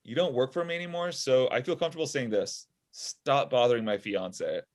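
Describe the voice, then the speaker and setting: A man's voice: mid-range voice, fairly quick, lightly acted. A man in his thirties playing a sardonic, frustrated robot inventor in a comedy table read over a video call from home. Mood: irritated. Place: small room at home.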